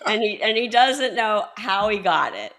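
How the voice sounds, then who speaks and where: A woman hosting a book podcast, recounting a novel's plot in a measured way with fillers. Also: medium pitch, expressive, fairly bright tone. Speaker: podcast host. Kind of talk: interview, summarizing the plot.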